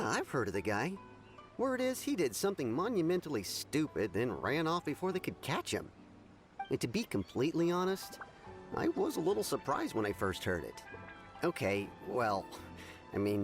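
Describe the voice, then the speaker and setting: A man's voice: medium pitch, huge pitch swings, moderate pace, soft background music. A man playing a chatty, slightly nervous townsman in a game, speaking conversationally with stammers. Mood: gossipy. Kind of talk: game voice acting.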